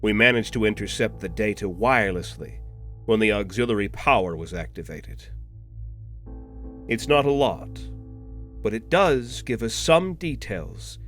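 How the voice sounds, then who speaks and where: An actor playing an obedient, coldly formal henchman reporting to a superior in an audio drama, in a measured manner. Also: fairly low pitch, expressive, a bit bright, soft background music. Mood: coldly dutiful. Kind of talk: anime-style audio roleplay drama.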